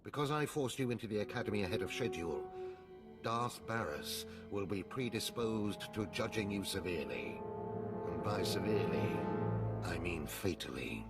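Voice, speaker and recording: A haughty, impatient older overseer from a sci-fi game. His lowish voice lectures in clipped, menacing, condescending phrases over loud music. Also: animated, normal speed. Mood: grave, lecturing. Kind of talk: video game voice acting.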